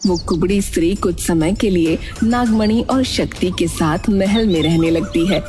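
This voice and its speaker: A woman storyteller reading scripted fairy tale narration. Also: mid-range voice.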